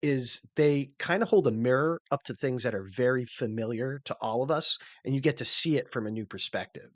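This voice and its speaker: Bright, dry-sounding male author. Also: mid-range voice, no room sound. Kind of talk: casual interview chat.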